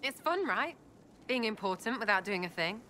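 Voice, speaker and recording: A woman's voice: mid-range voice, expressive, normal speed, sharp tone, a little hiss or hum. A woman voicing a cheeky, irreverent young elf rogue in a video game, breezy and slangy with a British accent. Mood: cheerful. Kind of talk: video game dialogue acting.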